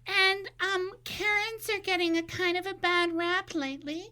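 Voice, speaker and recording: A woman giving careful answers in a measured, deliberate way. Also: very high-pitched, fairly even intonation, bright, forceful tone. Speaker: guest introducer.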